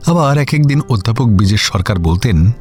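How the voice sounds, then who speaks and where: নিচু, ওঠানামা করা গলায় পুরুষ গল্পকথক. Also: male voice, on the low side, animated, normal speed, darkish tone. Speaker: story narrator. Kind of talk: scripted radio story narration. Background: background music.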